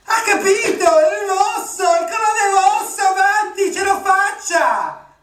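A bossy, impatient audition assistant, put on by a stage actress, barking out odd instructions. Her very high voice comes unhurried, and the stage sound is roomy and distant. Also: expressive, full character voice.

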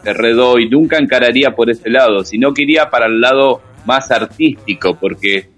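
A man's voice: mid-range voice, normal speed, fairly bright tone. A man on a comedic radio show, lecturing with mock authority and listing dating tips.

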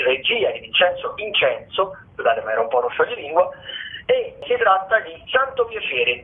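A man presenting theatre listings as a radio host, in a halting delivery with frequent self-corrections. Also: sits high. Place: radio broadcast. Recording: muffled narrowband telephone-like radio audio.

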